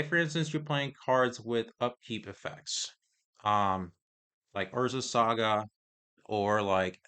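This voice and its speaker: A man casually reviewing trading cards, reading card text aloud in a halting, hesitant way, his voice getting hoarse. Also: on the low side, sharp tone. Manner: halting, hesitant, many pauses and restarts.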